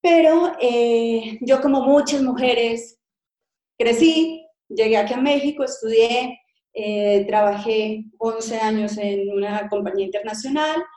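Colombian-born lady chatting bout her work, acts out convos, mellow tone, kinda roomy sound. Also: fairly high pitch, measured pace. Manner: lively storytelling, acts out dialogues. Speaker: guest, cake designer. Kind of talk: conversational interview.

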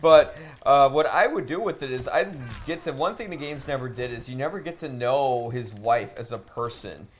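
A man co-hosting a podcast, debating casually and thinking aloud in a halting way, often repeating words. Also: medium pitch. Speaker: podcast co-host. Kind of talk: casual debate while gaming. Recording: clearly noisy room ambience.

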